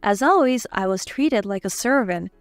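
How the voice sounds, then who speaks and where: A woman's voice: medium pitch, animated, normal speed, no echo. A woman reading a scripted, dramatized first-person story as its narrator. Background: background music.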